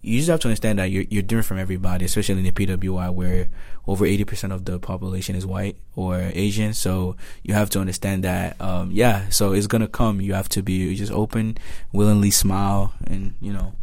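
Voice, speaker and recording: Low, flat-voiced Nigerian young man. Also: fast, vocal fry, few pauses. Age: young adult. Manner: earnest, repeats himself, acts out quotes. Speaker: student guest. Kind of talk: casual conversation.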